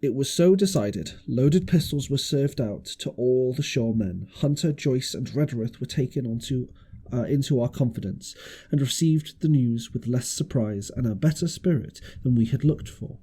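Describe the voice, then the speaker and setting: Male amateur audiobook reader, highly animated intonation, storybook narration with occasional stumbles. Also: normal speed, slightly rough. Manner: stumbles over words and rereads phrases.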